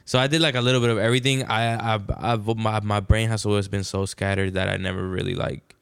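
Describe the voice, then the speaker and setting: A man in his twenties from Venezuela talks casually as a podcast guest, rambling and slangy. His voice is low with plenty of creak, yet clean, and recorded dry. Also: flat delivery, fast talker, fairly bright tone, no echo. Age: 20s. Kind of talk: casual chat.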